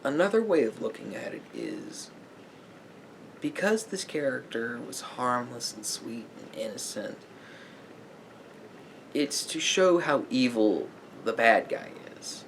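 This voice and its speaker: A man speaking softly as a therapist in an ASMR roleplay, in a measured, halting, explanatory way. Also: medium pitch, huge pitch swings, vocal fry, a little hiss or hum.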